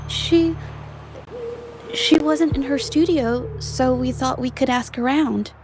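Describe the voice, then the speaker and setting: A woman's voice: high voice, slow pace. An actress playing an inquisitive, polite young woman in a video game, with music behind her. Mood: hesitant, polite.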